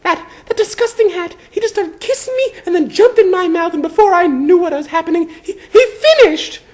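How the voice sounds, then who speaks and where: A man voicing an indignant, sputtering young witch schoolgirl in a shrill falsetto, from a storybook-style dramatic reading. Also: brisk. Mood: upset, humiliated. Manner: furious, sputtering.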